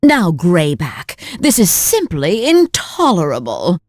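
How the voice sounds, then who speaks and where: A woman's voice: lowish voice, wildly swooping pitch, takes it slowly, no room sound, clean studio sound, heavily acted. An actress playing a cruel, haughty sorceress villain in a video game, mocking and theatrical, drawing out her words.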